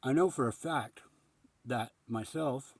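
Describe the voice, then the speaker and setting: A man with a husky voice giving a rambling, reflective spiritual monologue in an earnest, contemplative manner with emphatic pauses. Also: medium pitch, darkish tone, dry recording. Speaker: spiritual speaker.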